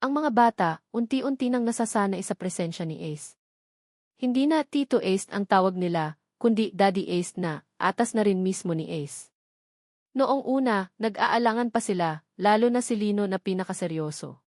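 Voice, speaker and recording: A woman's voice: mid-range voice, expressive, moderate pace, darkish tone, no room sound, studio quality. A woman reading a scripted first-person love story as a dramatic narrator.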